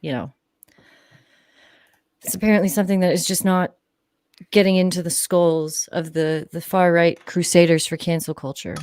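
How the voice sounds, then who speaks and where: A woman's voice: fairly low pitch, steady tone, normal speed, long pauses, a little mellow, clean tone, dry recording. A woman co-commentating on a livestream in casual chat, with a wry, teasing way of talking.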